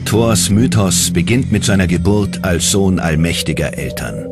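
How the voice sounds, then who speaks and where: A man reading scripted documentary narration in a dramatic, measured manner. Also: low-pitched, lively intonation. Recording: loud music under the voice. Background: loud background music.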